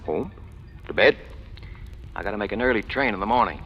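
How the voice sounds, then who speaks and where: A man's voice: lowish voice, animated, fairly quick, slight background noise. An actor playing a hard-boiled, scheming small-time crook who narrates his own rise in clipped, wisecracking slang, in old radio noir style. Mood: cool, calculating. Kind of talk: 1940s-50s radio noir acting. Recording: dull old radio recording, music behind.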